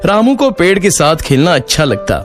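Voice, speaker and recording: A male storyteller reads a children's moral tale in a scripted style. His voice sits a little high and moves with very lively intonation, over a faint music bed. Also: moderate pace. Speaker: storyteller, narrator.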